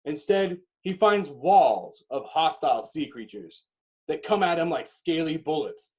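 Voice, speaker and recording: A man narrates an action script dramatically. His voice sits slightly high, and he breaks the directions into punchy, clipped phrases with pauses. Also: animated, a little mellow, poor audio. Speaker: narrator reading stage directions.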